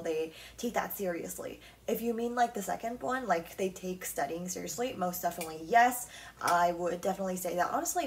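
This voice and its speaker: A woman's voice: medium pitch, distant mic. Chatty young woman, a little off-mic. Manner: chatty, frequent "like" and "um" fillers. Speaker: podcast host. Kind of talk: casual solo podcast chat.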